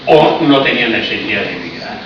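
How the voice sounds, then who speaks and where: A man's voice: fairly low pitch, animated, fairly quick, recorded from a distance. A man from Argentina with an Argentine Spanish accent, giving a history lecture in a reflective, explanatory way with ironic asides. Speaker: speaker giving a public talk. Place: cultural hall in Paris. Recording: echoey, muffled, noisy room recording from afar.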